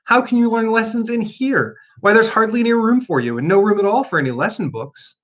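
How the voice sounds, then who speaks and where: A man's voice: high-pitched, fairly quick, fairly bright tone, highs cut off, voice pushed up. A man voicing an earnest young storybook girl who muses and frets as she talks to herself. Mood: musing, fretful. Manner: musing, fretful, talking to herself.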